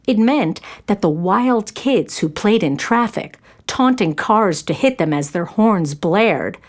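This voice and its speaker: Slow, expressive woman storyteller. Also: medium pitch. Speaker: narrator. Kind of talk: scripted children's story narration.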